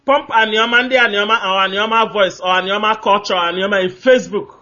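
A man's voice: high-pitched, steady tone, fast talker, sharp tone, muffled recording. A man with a Nigerian accent calling in to a radio talk show, speaking emphatically and repeating his points, often groping for names with fillers. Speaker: caller.

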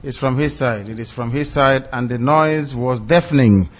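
A man's voice: mid-range voice, moderate pace. A man with a Nigerian accent hosting a live radio phone-in, speaking courteously and firmly as he handles callers. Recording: dull lo-fi radio stream.

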